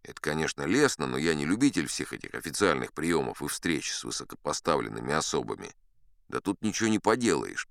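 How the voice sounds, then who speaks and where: Man playing deep-voiced old sea captain storyteller. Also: creaky, fairly bright tone, clean tone, dry recording, clean studio sound, exaggerated voice. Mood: wry, unhurried. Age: older. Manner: wry, conversational yarn-spinning. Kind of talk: dramatized audiobook narration.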